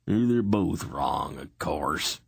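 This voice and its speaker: A man voicing a calm male miner who speaks with clinical detachment. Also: low voice, extremely slow, gravelly, breathy edge, dry recording, studio quality, full character voice.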